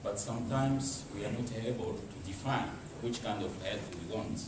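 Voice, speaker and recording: A man from DR Congo with a Congolese accent, making an impromptu formal comment in halting, non-native phrasing. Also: on the low side. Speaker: embassy representative commenting from the audience. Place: meeting room, speaking without a microphone. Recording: very echoey, distant, clearly noisy room.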